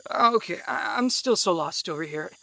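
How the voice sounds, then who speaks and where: A man playing a weary, bewildered wounded man, creaky and hesitant, in an audio drama. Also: sits high, animated, normal speed, bright, forceful tone, heavily acted. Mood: confused. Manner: hesitant, stammering.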